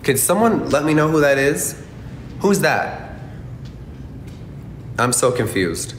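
A man's voice: very animated, slow. Indignant man in a roomy clip. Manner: indignant, sarcastic denial. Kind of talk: defensive clip played on stream. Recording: roomy clip with faint rumble.

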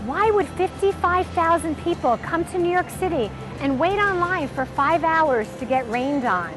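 A woman's voice: extremely high pitch, animated, moderate pace. A middle-aged woman hosting on location with a handheld mic, bubbly and exclamatory as she interviews people and tours an exhibit. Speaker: host and interviewer. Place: outdoors on a city street and inside an exhibit. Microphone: handheld microphone. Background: music.

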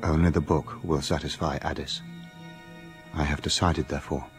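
An actor playing a haunted, doomed gentleman voicing a desperate letter with solemn dread in a radio drama. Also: a bass voice, steady tone, moderate pace, music in the background.

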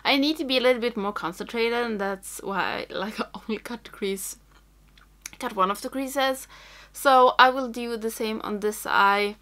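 A woman's voice: on the high side, moderate pace, bright, forceful tone. A young woman who speaks English as a second language, chatting through a makeup tutorial at home, rambling and thinking aloud, often dropping to a whisper as she works. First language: not English. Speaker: makeup vlogger. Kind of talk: casual tutorial chat. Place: small room at home with makeup shelves.

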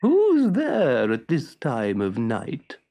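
A man voicing an unhurried female snail in a storybook, drawing out her words very slowly. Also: mid-range voice, huge pitch swings, warm tone, voice pushed up, heavily acted. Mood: slow, unruffled. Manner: drawn-out, very slow delivery. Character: slow, unhurried female snail servant of a fairy.

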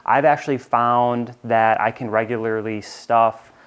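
A man in his thirties with an American accent walks through a product explainer in a clear, steady, informative way. His voice sits at an ordinary middle pitch. He is recorded close on a lapel mic in a bright studio. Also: a little slow. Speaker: company presenter. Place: bright studio with shelves of plush toys.

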